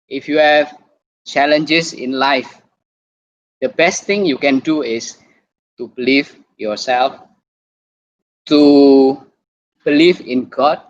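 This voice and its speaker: Slow-talking Indonesian-accented man. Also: fairly high pitch. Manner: halting, repeats words while speaking. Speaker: doctor and guest speaker. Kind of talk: online presentation talk. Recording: muffled lo-fi video call.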